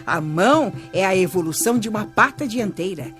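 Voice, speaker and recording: An actress playing a kindly older Brazilian grandmother who teaches through stories in a children's radio drama, measured and expressive. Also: mid-range voice, close to an everyday voice. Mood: patient, instructive. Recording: music bed under voice.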